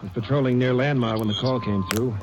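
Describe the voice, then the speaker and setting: A man's voice: mid-range voice, steady tone, fast, natural voice. An actor playing a helpful male lawman briefing a Ranger in plain terms, in a 1940s radio drama. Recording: lo-fi vintage radio recording with crowd.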